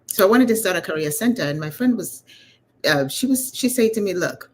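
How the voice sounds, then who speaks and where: A woman's voice: medium pitch, animated, fairly quick, slight background noise. A woman from Uganda, telling her own story conversationally as an interview guest, in a chatty, informal way. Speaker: interview guest, leadership coach.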